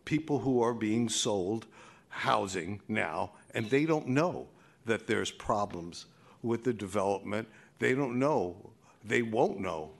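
A man giving a public comment at a board meeting, pressing pointed questions with many pauses and fillers. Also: fairly low pitch, vocal fry.

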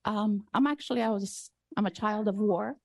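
A woman from Afghanistan giving emotional public comment at a city council, pleading with halting restarts. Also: medium pitch, vocal fry, dry recording, clean studio sound. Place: council chamber.

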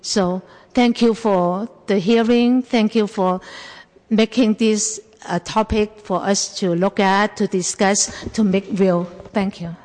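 A woman giving a measured, halting public comment speech at a hearing. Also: medium pitch, fairly even intonation, a little mellow. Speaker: translator and housing advocate at a public hearing. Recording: echoey public hall, long reverb.

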